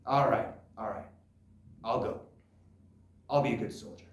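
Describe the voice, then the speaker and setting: A man playing a proud, stubborn father of nearly eighty in a solo stage drama, gruff and rambling as he brushes off concern. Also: mid-range voice, measured pace, pitched below the performer's own voice, natural voice. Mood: stubborn, dismissive. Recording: roomy, echoing live recording.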